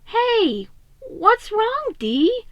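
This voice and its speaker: Casting: excitable, caring kid-brother turtle played by a woman, very high voice, eager and concerned, for an animated-style story reading. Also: drawn-out words, no echo, dull audio, heavily acted. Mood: worried.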